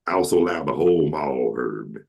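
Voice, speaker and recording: A man with a deep voice that carries, hosting a casual video chat and telling jokey stories. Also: lively intonation, fairly quick, creaky, a little mellow. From Atlanta, Georgia, USA. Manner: jokey storyteller who acts out quoted voices. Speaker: host. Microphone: video call.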